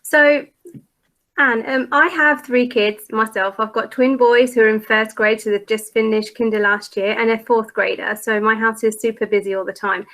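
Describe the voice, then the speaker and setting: A woman's voice: on the high side, steady tone, normal speed, a bit bright. A middle-aged woman presenting a parent workshop in a conversational, informal way, relatable and reassuring.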